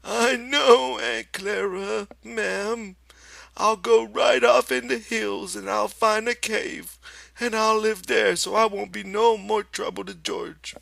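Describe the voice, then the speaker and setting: A man's voice: high voice, fairly even intonation, takes it slowly, creaky, a bit bright, no room sound, voice pushed up, full character voice. A man voicing a simple-minded, anxious big man with plaintive, folksy rural speech in an audiobook. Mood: grieving.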